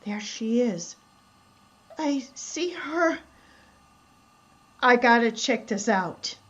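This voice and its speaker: A woman's voice: fairly high pitch, animated, drawn-out words, a bit bright, highs cut off, full character voice. A woman playing a curious, determined researcher musing aloud in a horror radio drama. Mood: puzzled, intrigued. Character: curious, determined female researcher investigating a mystery.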